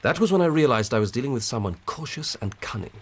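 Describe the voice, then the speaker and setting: An actor playing an earnest, methodical English police inspector in a radio drama, husky and deferential as he reports. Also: mid-range voice, expressive. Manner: measured, deferential reporting of evidence. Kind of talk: BBC radio drama acting.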